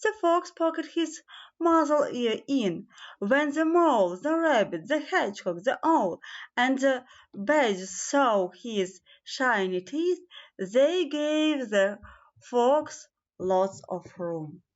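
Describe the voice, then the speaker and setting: A woman's voice: high-pitched, expressive, slow. A woman reads a children's fairy tale aloud as a storybook narrator, stumbling now and then over her words. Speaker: storybook reader. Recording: slightly dull, lo-fi sound.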